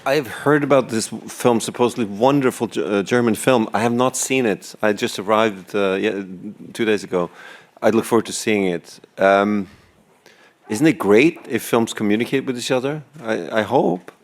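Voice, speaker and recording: Low-voiced director thinking aloud. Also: male voice, normal speed. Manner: thoughtful, searching for words. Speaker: film director. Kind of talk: press conference answer.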